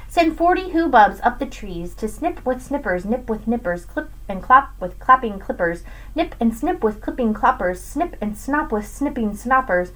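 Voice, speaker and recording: Animated, higher-voiced woman reading to children. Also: rough recording. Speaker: teacher reading to students. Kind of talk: expressive read-aloud of a rhyming children's picture book. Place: small home room with bookshelves. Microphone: webcam at desk distance.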